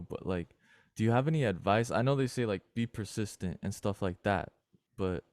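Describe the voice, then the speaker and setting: A man's voice: fairly low pitch, darkish tone, no room sound. A man hosting an interview, asking short, informal questions. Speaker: podcast host. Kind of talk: interviewing a guest.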